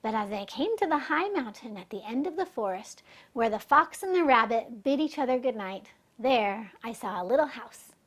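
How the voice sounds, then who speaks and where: A woman's voice: fairly high pitch, lively intonation, normal speed. A woman storyteller voicing an earnest royal messenger eagerly reporting back in a fairy tale.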